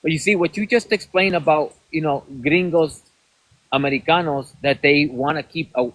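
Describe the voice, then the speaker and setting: A Mexican-accented man with a notably high voice makes his case on a podcast livestream. He is emphatic and insistent and slides back and forth between English and Spanish. The sound is close and dry. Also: moderate pace, fairly bright tone, no room sound, low-quality recording. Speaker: podcast host. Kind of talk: heated livestream debate.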